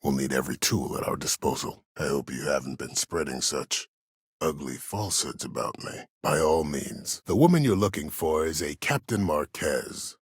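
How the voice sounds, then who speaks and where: An older military mastermind for a video game, with an extremely low, husky voice. He speaks formally and with measured, silky politeness that carries quiet menace. The sound is dry and close. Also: expressive, no room sound, full character voice. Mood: smooth, sly. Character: calculating, courteous, menacing older male military schemer. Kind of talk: dramatic video game voice acting.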